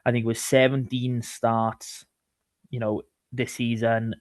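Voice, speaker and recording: A man co-hosting a sports podcast, talking casually about rugby in a halting way, often repeating words. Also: mid-range voice, flat delivery, no echo, studio quality.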